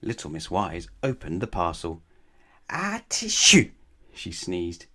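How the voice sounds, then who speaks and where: A man reading a children's storybook aloud in a measured, expressive way with emphatic phrasing. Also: sits low. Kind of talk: children's bedtime story narration.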